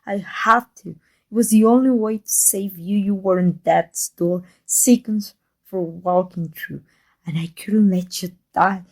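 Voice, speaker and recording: A woman with a Mexican accent playing a proud, secretly devoted villainess in an intimate ASMR roleplay, halting and emotional. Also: medium pitch, a little mellow. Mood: defensive, desperate. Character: proud, flustered, secretly devoted villainess with life-transfer power.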